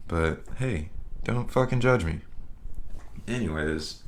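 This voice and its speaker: Young male podcast host, deep voice, loose rambling delivery, casual swearing. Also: lively intonation, slow. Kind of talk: casual solo podcast chat.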